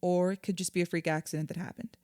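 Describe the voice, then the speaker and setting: A woman acting in an audio drama as a calm, patient therapist, speaking in a measured, reassuring, conversational way. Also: on the low side, no room sound, studio quality, lightly acted. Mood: thoughtful.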